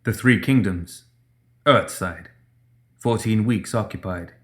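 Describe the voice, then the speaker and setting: A male narrator with a fairly low voice reads a dramatic short story. His delivery is scripted storytelling, as in an audiobook. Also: slow pace, a bit bright, soft background music.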